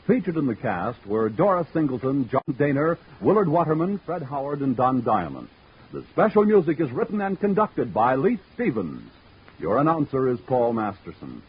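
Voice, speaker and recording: A man announcing credits and program listings in formal 1940s network radio style. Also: slight background noise. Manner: formal booming announcer delivery. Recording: dull old radio recording with music.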